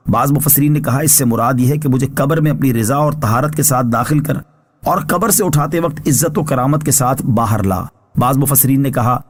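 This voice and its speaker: A man giving a scripted religious narration as a scholar, explaining scripture in a measured, formal way. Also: mid-range voice. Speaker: religious scholar reading translation and commentary.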